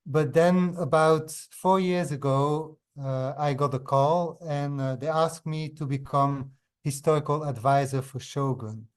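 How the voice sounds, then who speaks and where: A man in his fifties from Belgium, not a native English speaker, giving a webinar talk as a historian over a video call, pausing often with fillers and restarts. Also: on the high side, steady tone, clean tone. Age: 50s. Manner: hesitant, frequent fillers and restarts.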